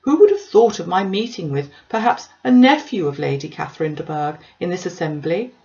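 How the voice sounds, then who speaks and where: A woman reads as a self-important clergyman from a classic novel, wordy and obsequious. The delivery is eager and formal, lifted in pitch, on a slightly dull lo-fi recording. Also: expressive, fairly quick, mellow. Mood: excited, self-important. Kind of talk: audiobook character dialogue.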